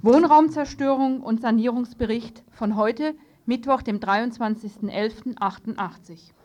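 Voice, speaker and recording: A woman presents the news live in a radio studio. Her voice sits fairly high. The recording is muffled, like eighties radio tape. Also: measured pace, a little mellow. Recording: dull lo-fi 1980s radio tape.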